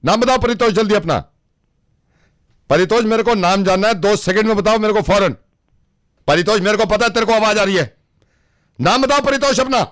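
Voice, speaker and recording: A man's voice: high voice, brisk, long pauses, dry recording, clean studio sound, lightly acted. A man playing a suspicious, demanding father who interrogates insistently in a comic prank call. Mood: stern, suspicious. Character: suspicious, stern father interrogating daughter's secret boyfriend.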